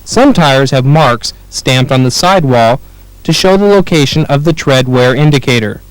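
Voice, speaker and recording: A man with an American accent reading scripted instructional narration for a training film in a clear, measured way. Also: mid-range voice, lively intonation, no room sound, rough recording.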